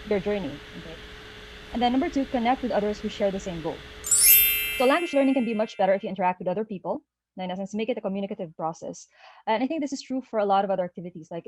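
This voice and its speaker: A woman's voice: mid-range voice, darkish tone, a little hiss or hum, poor audio. Filipino woman presenting on a video call. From Philippines. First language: Tagalog. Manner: friendly, checks in with "okay?" and many "uh" fillers. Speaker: guest speaker. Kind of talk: online presentation.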